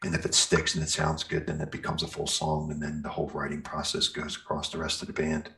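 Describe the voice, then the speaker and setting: Very deep, gravelly-voiced man chatting. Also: completely flat delivery, fast talker, pushed and bright. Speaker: interview guest, metal band singer and guitarist. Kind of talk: casual interview chat.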